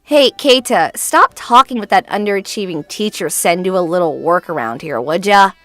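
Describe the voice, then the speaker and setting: A woman voicing a haughty, dismissive high school girl with a condescending, expressive delivery in a manga dub. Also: moderate pace, faint music behind. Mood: scornful. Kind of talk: acted manga dub dialogue.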